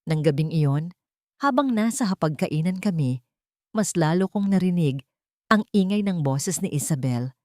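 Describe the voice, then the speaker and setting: A woman's voice: on the low side, lively intonation, normal speed, darkish tone, no room sound, clean studio sound. A woman reading a scripted first-person dramatic story as its narrator.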